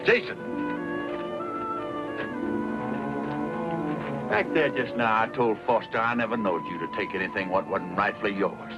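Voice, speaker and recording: A man playing a rough-hewn, loyal middle-aged wagon-train hand with folksy rustic grammar in a western radio drama. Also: fairly low pitch, a little fast, close to an everyday voice. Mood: persuasive. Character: rough-hewn, loyal frontier old hand named Tobias, plain-spoken. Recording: dull lo-fi radio recording, music.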